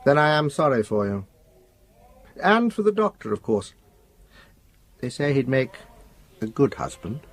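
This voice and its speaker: An actor playing an eerily courteous, learned asylum patient who speaks formally and pedantically in an audio drama. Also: male voice, medium pitch, sing-song, a little slow, long pauses. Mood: eerie, probing. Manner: formal, pedantic, precise diction. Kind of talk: British radio drama acting.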